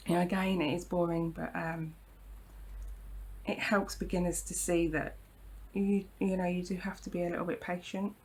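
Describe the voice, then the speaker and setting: Croaky, gravelly woman crafter. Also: lowish voice, level tone, moderate pace, a little mellow. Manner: chatty and reassuring, frequent ums and apologies. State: croaky from hay fever. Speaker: craft tutorial host. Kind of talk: casual hands-on craft demonstration.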